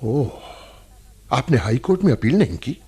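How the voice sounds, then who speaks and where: An earnest, probing playwright in a radio play. His husky voice rises and falls widely as he questions and pleads with emotional insistence. He leans on dramatic pauses and repeated words. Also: mid-range voice, very animated, dark tone, exaggerated voice. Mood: shocked, probing. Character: earnest, probing male playwright visiting a prison.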